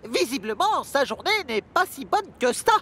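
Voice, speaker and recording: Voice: high voice, very animated, normal speed, over music. An actor voicing mischievous, mocking freight wagons in a French cartoon dub. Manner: mocking, giggly.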